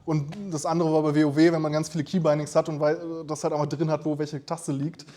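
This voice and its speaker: A man's voice: fairly high pitch, little pitch movement, quick pace, warm tone. Male contestant, mutters while working, light voice. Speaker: game show contestant. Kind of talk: unscripted game show play.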